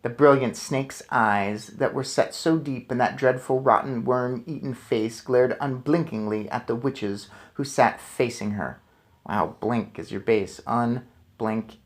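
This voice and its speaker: A man reading a children's book aloud as a storyteller, with expressive, deliberate phrasing. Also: medium pitch. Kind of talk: expressive children's book narration with casual asides.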